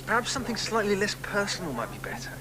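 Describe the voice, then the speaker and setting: An actor playing a polite, placating publisher pitching a book deal in a radio drama. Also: male voice, very animated, fast talker, a crowd in the background, poor audio.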